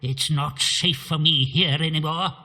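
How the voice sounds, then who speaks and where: Husky, anxious archaeologist. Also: male voice, on the high side, fairly even intonation, a little slow, sharp tone, heavily acted. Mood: irritable, fearful. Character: anxious, irritable scholar archaeologist in hiding. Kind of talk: video game character acting. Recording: long hall-like reverb.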